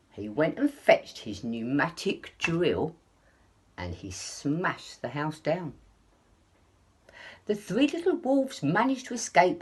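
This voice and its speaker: A woman's voice: medium pitch, husky. A woman storyteller reading expressively to young children, with a dramatic delivery. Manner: dramatic, with hushed asides. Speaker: early years storyteller.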